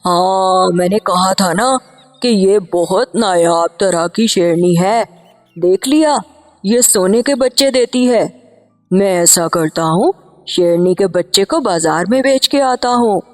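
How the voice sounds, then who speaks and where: A woman's voice: medium pitch, takes it slowly, faint music behind, natural voice. Woman as an eager young hunter in long reverb. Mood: reassuring, proud. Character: eager, naive young hunter, a dutiful son.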